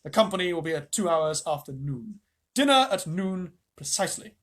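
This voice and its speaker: A reader voicing a young male high king in a storybook, grave, fair and formal. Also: sing-song. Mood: grave, decisive. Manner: measured, decisive, formal.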